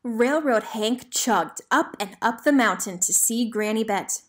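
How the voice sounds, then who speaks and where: A woman reading a children's storybook aloud in an animated, deliberate way. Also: a little high. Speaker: storybook reader. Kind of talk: expressive children's read-aloud narration.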